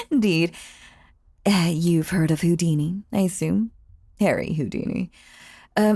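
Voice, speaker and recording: A sinister, possessive magician acted by a woman speaks in a low, creaky, velvety-dark voice. The delivery is theatrical and coaxing, sweetly menacing, often sinking into breathy whispers for an intimate roleplay audio. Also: no echo, clean studio sound, full character voice. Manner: theatrical, coaxing, drawn-out phrasing with dramatic pauses.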